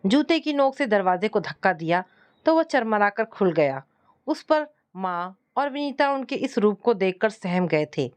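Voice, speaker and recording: A woman's voice: medium pitch, big pitch swings, unhurried, darkish tone, clear, resonant tone. A woman storyteller reading a scripted first-person family drama.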